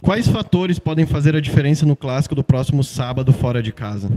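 A man reading out journalists' questions for a club press conference in a steady tone. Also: mid-range voice, quick pace, a little hiss or hum.

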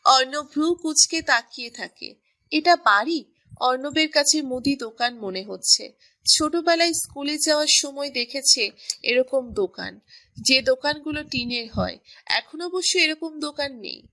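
A woman's voice: high voice, expressive, unhurried, sharp tone. A woman reading scripted narration for a romantic story as its storyteller.

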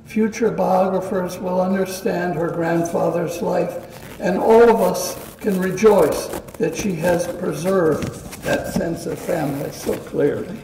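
Older male lecture introducer, unhurried reader, dark mellow tone, distant roomy hall recording. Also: high voice. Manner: measured, reads from notes. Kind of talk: reading a prepared introduction. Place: community meeting room. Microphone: stand mic at a podium. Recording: roomy hall sound, distant mic, faint hiss.

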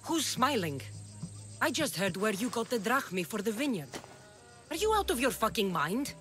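An actress playing a tough young mercenary in a video game, blunt and sarcastic. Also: female voice, on the high side, very animated, normal speed, a bit bright, soft background music. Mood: irritated. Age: young adult. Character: tough, sardonic young female Greek mercenary. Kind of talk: video game cutscene acting.

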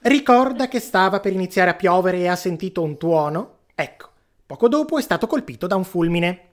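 A podcast host voicing a brisk, wry afterlife clerk handling a queue in a comic stage sketch, matter-of-fact and sardonic. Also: medium pitch, animated, natural voice. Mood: amused, matter-of-fact.

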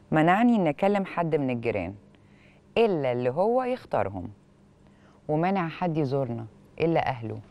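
A woman with an Egyptian accent hosting a television show, reading and commenting on a letter in an expressive, questioning way, on a lapel mic in a studio. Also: deep voice. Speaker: television host.

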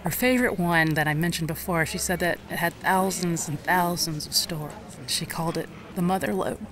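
An actress playing a gentle, remorseful woman in an audio drama, speaking in a halting, reflective way. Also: on the low side, crowd noise, close to an everyday voice. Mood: wistful, regretful. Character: remorseful woman recalling a sick little girl, gentle. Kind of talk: audio drama acting, conversational.

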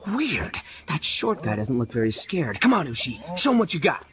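Brash, wildly swooping prankster. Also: male voice, medium pitch, wildly swooping pitch, moderate pace, crowd noise. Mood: puzzled, egging on. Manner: boastful, loud, exaggerated. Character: brash, boisterous male prankster, cackling and cocky. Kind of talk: anime game voice acting.

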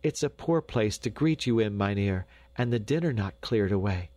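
A man voicing a fussy, warm and eager peasant mother in a storybook, with homely old-fashioned speech. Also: lowish voice, animated, normal speed, dry recording. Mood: flustered.

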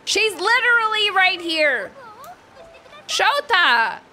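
A woman streaming a game with casual, playful, animated commentary, exclaiming and teasing as she goes. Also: very high voice, slow pace, slight background noise. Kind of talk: casual live gameplay commentary. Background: game music.

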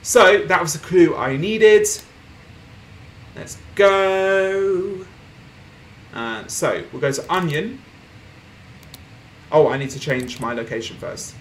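Young male gaming streamer, casual playthrough chatter, slightly higher voice, faint background hiss. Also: takes it slowly, long pauses. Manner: chatty, reads on-screen posts aloud, laughs softly. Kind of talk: casual game playthrough commentary. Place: small room at home, indoors.

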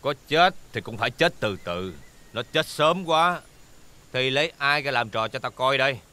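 A man's voice: medium pitch, animated, sharp tone, slight background noise. An actor playing an arrogant, cruel young rich master with a southern Vietnamese accent, taunting and drawing out his words in a dramatic period style. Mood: cold, sadistic. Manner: haughty, taunting, drawn-out words.

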